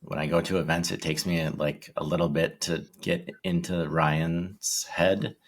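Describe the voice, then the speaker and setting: Gravelly deep-voiced man in his forties. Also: level tone. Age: 40s. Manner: shy, halting, many ums and restarts. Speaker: interview guest. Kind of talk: casual interview chat.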